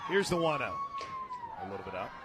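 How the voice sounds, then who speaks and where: An American man doing live radio play-by-play of softball, conversational and clipped, lifting into emphatic calls. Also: mid-range voice, very animated, a little fast, very noisy. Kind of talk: live softball radio commentary with casual banter. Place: stadium radio booth.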